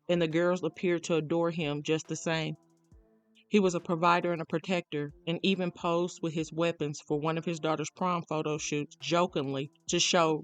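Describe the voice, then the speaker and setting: A narrator reading a scripted true crime documentary account. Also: mid-range voice.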